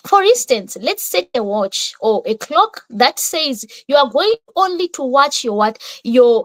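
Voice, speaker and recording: A woman's voice: high voice, a bit bright, no echo, rough recording. Emphatic woman speaker, dry close sound. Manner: emphatic, repetitive, rhetorical questions. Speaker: guest speaker. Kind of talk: motivational finance talk.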